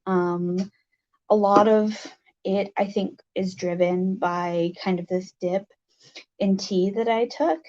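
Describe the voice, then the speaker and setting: A livestream host with a raspy voice, chatting casually and haltingly with viewers, with many fillers. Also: medium pitch, steady tone, a little mellow, dull audio.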